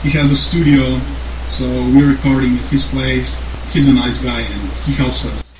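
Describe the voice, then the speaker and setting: Young Slovene-accented man, unsure and halting, recorded in a reverberant room with heavy noise. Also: mid-range voice, fairly even intonation. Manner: hesitant, many fillers and restarts. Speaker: band songwriter. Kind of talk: casual interview answers.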